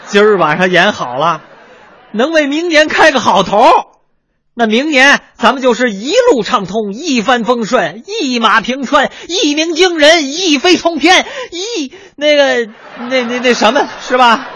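A fussing Beijing comic. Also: male voice, very high voice, expressive, pushed and bright, a faint crowd, full character voice. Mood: pleading. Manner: rapid comic patter, fussing and fawning. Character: harried male crosstalk comic and teahouse boss. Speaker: comedian. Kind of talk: radio crosstalk drama.

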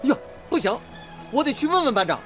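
An eager high-voiced young apprentice. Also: male voice, sing-song, brisk, a bit bright, soft background music, heavily acted. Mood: anxious. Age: young adult. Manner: earnest, deferential, eager. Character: eager, earnest young male factory apprentice. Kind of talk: radio drama acting.

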